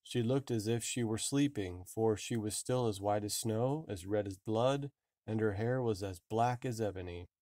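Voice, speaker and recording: A man reading a fairy tale aloud as an expressive storybook narrator. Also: on the low side, moderate pace, no room sound, studio quality. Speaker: storybook reader.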